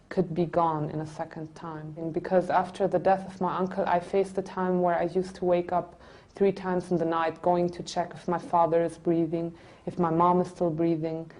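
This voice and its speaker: A woman's voice: lowish voice, a little mellow. A woman in her twenties from Germany giving an earnest, reflective personal testimony in unscripted speech. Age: 20s. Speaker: religious convert telling her story.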